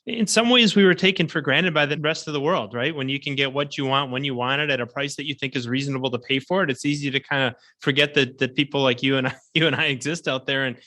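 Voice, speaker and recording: A man's voice: mid-range voice, fast talker, hardly any pauses, bright, forceful tone. A man from Southeastern Wisconsin hosting a podcast, asking long, reflective questions. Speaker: podcast host.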